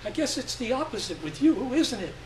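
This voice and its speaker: A man's voice: very high-pitched, big pitch swings, fairly quick, pitched above the performer's own voice. A man voicing a chatty, needling mother of boys as he reads a story, questioning and exclaiming. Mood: teasing, incredulous. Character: chatty, needling young mother, wife and boys' mom. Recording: roomy, distant mic with faint hum.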